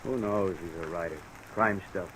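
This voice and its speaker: An actor playing a world-weary American police lieutenant with a casual, clipped manner in a 1950s radio crime drama. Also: male voice, deep voice, moderate pace, fairly bright tone. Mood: offhand. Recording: noisy old radio transcription.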